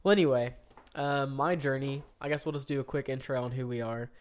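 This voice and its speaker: A man around thirty, co-hosting a casual chat podcast with a middle-range voice. He talks at length, filling pauses with ums and uhs and restarting sentences. Now and then he lifts into lively, excited bursts. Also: mid-range voice, clear, resonant tone. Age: 30s. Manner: rambling, lots of ums and restarts, animated bursts. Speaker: podcast co-host.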